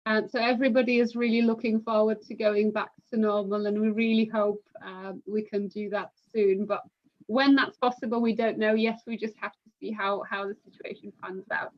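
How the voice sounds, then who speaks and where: A woman from Poland, a university recruitment manager, speaking in a measured, hesitant way with many fillers during a live admissions session from home over a video call. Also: medium pitch, little pitch movement. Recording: muffled lo-fi online call audio.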